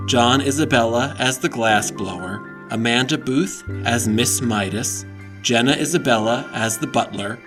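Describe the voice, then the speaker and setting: A man reading a scripted announcement as the announcer of a series. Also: mid-range voice. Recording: music playing under the voice. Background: music.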